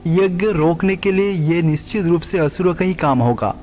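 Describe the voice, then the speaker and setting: Man voicing an earnest, higher-pitched troubled sage. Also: normal speed, darkish tone, lightly acted. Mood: troubled, puzzled. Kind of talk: animated devotional story dialogue. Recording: long reverb, music underneath.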